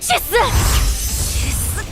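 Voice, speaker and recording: A woman playing a proud, fierce young noble swordswoman with a haughty manner in an animated series. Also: slow, soft background music, natural voice. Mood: furious. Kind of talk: animation voice acting.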